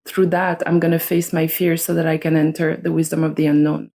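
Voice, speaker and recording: A middle-aged woman from Switzerland, a guest speaking slowly and softly in a reflective interview, often pausing to search for words. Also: low-pitched, little pitch movement, creaky. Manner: slow, soft-spoken, searching for words. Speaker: guest, somatic educator and astrologer.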